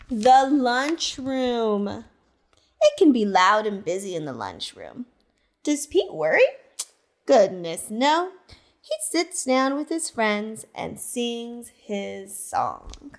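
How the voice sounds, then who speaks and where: Woman librarian for a kids' read-aloud, high and very animated, unhurried pace. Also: gravelly. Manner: slow, expressive storytelling that asks the listener questions. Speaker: teacher librarian. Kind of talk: reading a picture book aloud to children.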